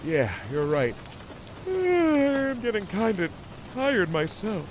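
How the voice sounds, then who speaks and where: Man voicing a lovestruck cartoon beaver, swooping expressive pitch. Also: slow pace, slight background noise, heavily acted, rough recording. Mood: tender, sleepy. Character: lovestruck, insecure young male cartoon beaver. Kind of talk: cartoon fan fiction audio reading.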